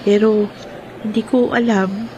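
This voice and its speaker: A woman's voice: medium pitch, steady tone, unhurried, darkish tone, a little hiss or hum, close to an everyday voice, poor audio. A woman playing a young woman unsure about a suitor, in a radio drama. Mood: uncertain.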